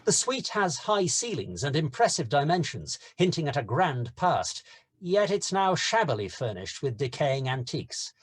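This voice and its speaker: A man's voice: a little high, sing-song, moderate pace, husky. A man reading stage directions as narrator in a scripted screenplay table read.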